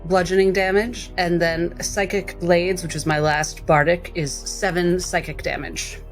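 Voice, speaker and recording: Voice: medium pitch, steady tone, a little slow, soft background music. A player describing their character's actions in a tabletop game, hesitant and thoughtful.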